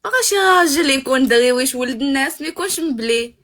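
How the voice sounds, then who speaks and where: A woman's voice: sits high, animated, fast talker, fairly bright tone. A Moroccan woman in her twenties, a caller on a matchmaking live stream, answering shyly and briefly. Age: 20s. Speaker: caller seeking a husband.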